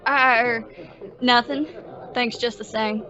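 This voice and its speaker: A meek young deputy, played by a young woman, talks hesitantly in a high voice. He stammers through a western radio play with slightly dull sound. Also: fairly even intonation, takes it slowly, pushed and bright, faint music behind. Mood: uneasy, dutiful. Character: timid, soft-spoken young male reluctant deputy. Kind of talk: old-time western radio drama acting.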